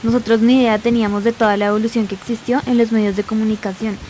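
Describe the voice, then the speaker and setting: A woman's voice: fairly high pitch, level tone, fast talker, mellow, slight background noise, natural voice. A woman playing a chatty, slangy young granddaughter in an amateur radio drama. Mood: impressed. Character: eager, chatty young Colombian granddaughter, easily impressed.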